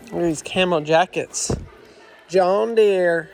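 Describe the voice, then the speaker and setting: A man's voice: sits high, takes it slowly. A congested man chatting to his handheld camera as he narrates a family errand run through a farm supply store. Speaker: family vlogger. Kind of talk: casual vlog chat.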